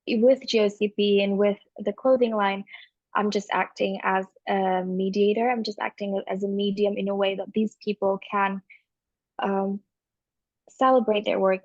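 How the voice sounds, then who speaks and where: Soft-spoken young woman from Indonesia. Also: medium pitch, no room sound, muffled recording. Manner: thoughtful, hesitant with many fillers. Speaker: guest, magazine founder. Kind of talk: casual interview chat.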